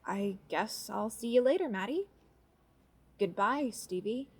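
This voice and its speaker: A teenage girl, played by a woman in an audio drama, with a fairly high voice. She is polite and hesitant, anxious and reluctant with her elders. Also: expressive, unhurried, slightly rough, full character voice.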